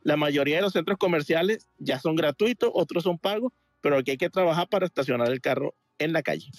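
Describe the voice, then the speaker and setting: Un hombre de Venezuela que llama a un programa en directo y explica algo con muchas muletillas y frases que vuelve a empezar. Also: male voice, a little high, animated, fast talker, no echo. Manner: rambling, many fillers and restarts. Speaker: caller. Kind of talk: casual call-in chat. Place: home in Miami Beach.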